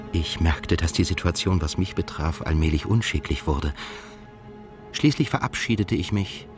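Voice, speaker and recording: An introspective émigré writer telling his own story in a radio drama. His low voice is measured and reflective, with music clearly present behind it. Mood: intimate, restrained. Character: introspective male émigré writer recounting his love affair. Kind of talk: literary first-person narration in a radio drama. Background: prominent music.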